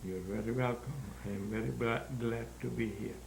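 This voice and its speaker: A man in his nineties from Hungary, speaking in halting, searching sentences as an interview guest. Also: mid-range voice, steady tone, gravelly, darkish tone. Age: 90s. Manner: halting, searching for words, non-native grammar. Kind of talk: interview answers. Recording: roomy echo with faint hum.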